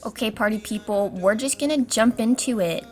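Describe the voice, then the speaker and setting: A woman's voice: a little high, moderate pace. A young woman with a congested, stuffy voice from a cold, narrating her crafts vlog in a chatty, self-mocking way. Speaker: YouTube crafts vlogger. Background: faint background music.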